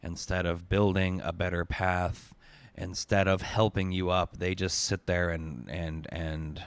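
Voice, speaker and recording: A man narrating a dramatic spoken-word piece in an intense, deliberate, emotive way with weighted pauses. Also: sits low, little pitch movement, clear, resonant tone. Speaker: narrator, essayist. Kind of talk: dramatic spoken-word storytelling in second person.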